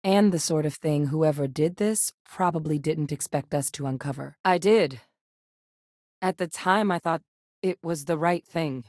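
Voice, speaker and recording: An actress voicing a composed female ex-soldier companion in a video game, speaking in a measured, earnest way. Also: sits low, a little mellow, no room sound, clean studio sound. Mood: steady. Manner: measured, earnest, matter-of-fact. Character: composed female ex-soldier scientist, duty-bound. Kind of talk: recorded game voice lines. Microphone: close studio microphone.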